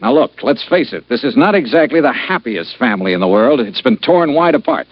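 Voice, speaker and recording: An actor playing a tough, blunt insurance investigator in an old-time radio detective drama. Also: male voice, medium pitch, lively intonation, a little fast, husky, dry recording. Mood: pressing. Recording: dull vintage radio recording.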